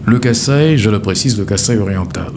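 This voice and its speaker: A middle-aged man from Kasai in DR Congo, a candidate answering interview questions in a measured, formal way with frequent hesitations. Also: on the low side, background noise. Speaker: gubernatorial candidate.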